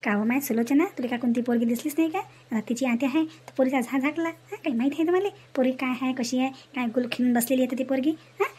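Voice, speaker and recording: A woman's voice: sits high, quick pace, hardly any pauses, darkish tone, slightly rough. A woman voicing a gossipy, indignant village woman in a comedy cartoon, scolding and chatty in a rural Varhadi Maharashtrian accent. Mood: indignant gossip. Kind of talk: animated comedy cartoon dialogue in rural dialect.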